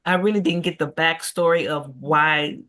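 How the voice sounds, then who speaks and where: A woman's voice: low voice, steady tone, moderate pace, a bit bright. A woman actor adding a casual comment in a group discussion.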